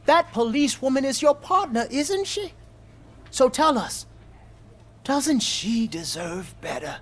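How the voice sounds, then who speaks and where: An actor playing an indignant, grandstanding city mayor in a video game, in a pompous, declamatory manner. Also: male voice, very high-pitched, sing-song, unhurried, full character voice. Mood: outraged, pleading. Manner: declamatory, theatrical, pleading speechifying. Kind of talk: video game voice acting, political speech.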